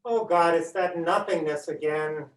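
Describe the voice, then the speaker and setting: A man's voice: a little high, fairly even intonation, a little slow, lightly acted. A man acting an anxious, fretful father with theatrical delivery in a staged reading of a play, recorded in a roomy space away from the microphone. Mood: resigned, despairing. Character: anxious father, an obsessive collector, fretting. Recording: echoey room, distant microphone.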